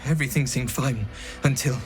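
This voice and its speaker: A man's voice: medium pitch, fairly quick, lightly acted. An actor playing an earnest, nervous young male recruit in a video game, hesitant and deferential. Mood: shaken, guilty. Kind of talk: dramatic video game acting.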